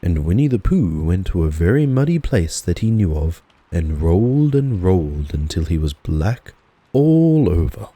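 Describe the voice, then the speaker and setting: Low, husky, animated male storyteller. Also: unhurried, no room sound. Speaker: audiobook narrator. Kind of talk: relaxing dramatised storybook narration.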